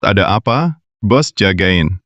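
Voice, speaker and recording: A man's voice: sits low, animated, slow pace, a bit bright, dry recording, muffled recording, exaggerated voice. A young man voicing a curt, commanding company president in a dubbed drama. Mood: protective. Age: young adult.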